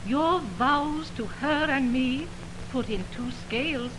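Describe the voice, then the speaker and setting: A woman's voice: sits high, takes it slowly. A woman playing a hurt, indignant young woman who feels mocked, declaiming verse in 1920s radio Shakespeare. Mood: reproachful. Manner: theatrical, declamatory verse delivery. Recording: noisy 1929 radio aircheck, humming.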